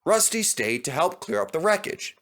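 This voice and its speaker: A man's voice: sits high, creaky. A man reading a children's storybook aloud as narrator. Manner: expressive, measured.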